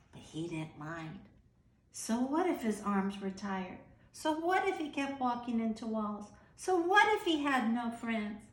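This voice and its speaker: A woman reading a children's story aloud expressively, with dramatic emphasis and some hushed phrases. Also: on the high side, echoey room. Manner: animated, dramatic, dropping into hushed breathy phrases.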